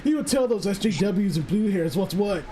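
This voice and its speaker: A man chatting and reading aloud on a casual livestream, halting and sardonic, with some swearing. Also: high-pitched, expressive, dark tone, slight background noise. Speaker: streamer reading stories aloud. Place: voice call livestream.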